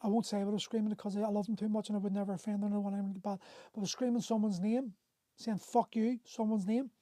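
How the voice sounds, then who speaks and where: Candid middle-aged man, mellow voice. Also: sits high, little pitch movement, no echo. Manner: candid, emotional, swears freely, halting restarts. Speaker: host. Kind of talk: livestream storytelling. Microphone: phone on a livestream.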